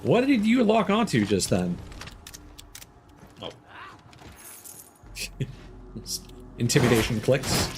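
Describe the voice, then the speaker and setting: A man's voice: medium pitch, wildly swooping pitch, slow, long pauses, background noise. A man streaming a video game and chatting casually as he plays, thinking aloud with fillers and small laughs. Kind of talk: casual live gameplay commentary.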